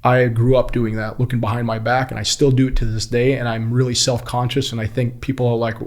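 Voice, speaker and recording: A man's voice: mid-range voice, brisk. A man telling anecdotes casually as an interview guest, in informal, slangy phrasing and acting out what people said. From Kuwait. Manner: animated retelling with acted-out quotes, informal slangy phrasing. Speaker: podcast guest, body-language instructor and former US Marine.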